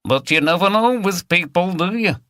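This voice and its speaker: A man's voice: sits high, expressive, normal speed, fairly bright tone, no room sound, clean studio sound, voice pushed up. Man putting on a mild Birmingham bloke. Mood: doubtful. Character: chunky, uneasy Midlands man, village lodger.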